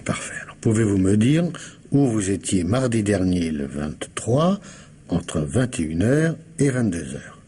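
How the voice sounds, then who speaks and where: An actor playing a shrewd, courteous police commissioner in a radio mystery drama, husky and politely insinuating as he questions methodically. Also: lowish voice, sing-song, a little slow, mellow, exaggerated voice. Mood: probing, insistent.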